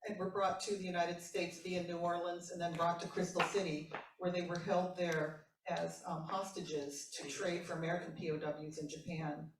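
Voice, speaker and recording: A woman panelist answering an audience question with measured historical detail. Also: on the low side, little pitch movement, slight background noise. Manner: knowledgeable, measured. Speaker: panelist and moderator. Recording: distant voice in an echoey hall.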